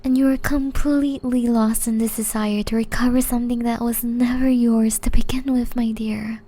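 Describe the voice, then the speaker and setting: Soft, whispering gentle witch, played by a woman. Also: on the high side, steady tone, close to an everyday voice. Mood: wistful, tender. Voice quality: soft, breathy, largely whispered. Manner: slow, tender, earnest pleading. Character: gentle centuries-old banished forest witch, caring and wistful. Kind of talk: ASMR roleplay.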